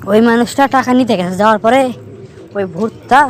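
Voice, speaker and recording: A man's voice: sits high, fairly quick, natural voice. A man with a northern Bangladeshi accent playing a teasing young riddler in an amateur cartoon, explaining things to his friend. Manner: teasing, explanatory, keeps checking the listener follows. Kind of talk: amateur cartoon dialogue, storytelling.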